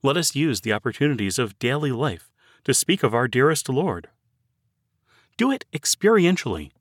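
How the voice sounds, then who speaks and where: A man narrating a written devotional sermon in a measured, earnest, formal oratorical manner. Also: medium pitch, very animated, dry recording, clean studio sound. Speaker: audiobook narrator reading a sermon. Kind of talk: scripted devotional sermon reading.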